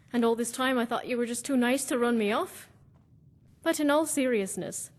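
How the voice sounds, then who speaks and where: Woman playing a high-voiced, earnest warrior companion. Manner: earnest, formal, lightly teasing. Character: loyal female warrior companion, sincere with dry humour. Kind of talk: fantasy game character dialogue.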